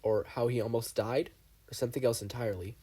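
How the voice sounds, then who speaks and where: A man reading fan fiction aloud in a steady, unhurried narration. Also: mid-range voice, husky. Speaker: podfic reader.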